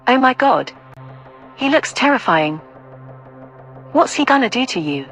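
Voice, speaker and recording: Animated, brash young woman played by an actress. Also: sits high, unhurried, faint music behind, full character voice. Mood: sarcastic. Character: brash, irritable young woman, twenty-seven. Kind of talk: audio play acting.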